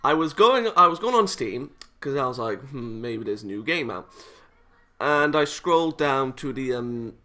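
A man's voice: mid-range voice, lively intonation, moderate pace, bright, forceful tone. A British man hosting a gaming video, chatting casually and reading visual novel text aloud with playful, self-interrupting asides. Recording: music playing behind the voice.

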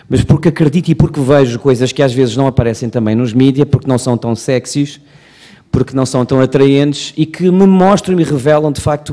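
Measured Portuguese man with a mellow voice. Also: mid-range voice. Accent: European Portuguese. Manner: measured, rhetorical, slows for emphasis. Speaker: Portuguese consul general giving opening remarks. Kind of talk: formal seminar speech. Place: conference auditorium, at a podium. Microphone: podium microphone.

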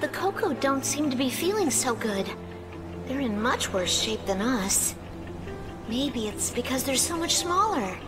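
An earnest young hedgehog girl, the caring heroine of a video game. Her voice is high and swings expressively with every phrase. Music plays clearly behind her. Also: very animated, measured pace. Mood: concerned. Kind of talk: video game cutscene acting.

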